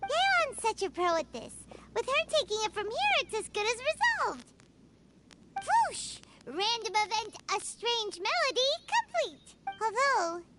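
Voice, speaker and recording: A woman voicing a tiny, cheerful, childlike fairy companion in a video game, chatty and excitable with a squeaky voice. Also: heavy background noise, full character voice. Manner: refers to self in third person, bubbly. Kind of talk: video game character dialogue.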